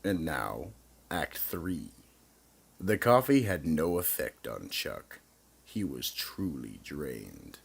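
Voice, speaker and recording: A man narrating scripted horror as storyteller and announcer, slow, theatrical and ominous. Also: rumbling low, big pitch swings, gravelly, a little hiss or hum.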